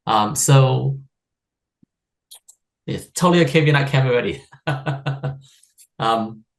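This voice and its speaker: A man's voice: medium pitch, slow pace. A man who grew up in the United States, leading a live online workshop as a stress and wellbeing coach over a video call, in an upbeat, chatty way with frequent laughs and fillers. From United States (Seattle area).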